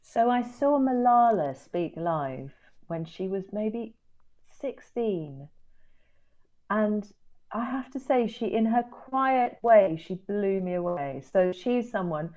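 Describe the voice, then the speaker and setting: A woman's voice: mid-range voice, expressive, slow pace, warm tone, muffled recording. A woman guest in an interview, thoughtful and enthusiastic, who laughs easily. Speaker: guest, speaking coach. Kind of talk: conversational interview.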